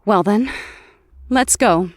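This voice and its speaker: A compassionate superheroine played by a woman speaks with gentle sincerity and frequent halts. Her medium-pitched voice takes its time, captured close and dry for audio drama dialogue. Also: slow pace, no room sound, lightly acted. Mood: worried.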